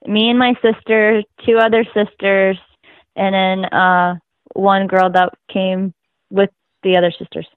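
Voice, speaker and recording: A woman's voice: medium pitch, little pitch movement, measured pace, dry recording. Giggly young woman on a tinny line. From Russia. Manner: giggly, easygoing, chatty. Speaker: interview guest. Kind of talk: casual interview. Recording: dry, tinny phone-like line.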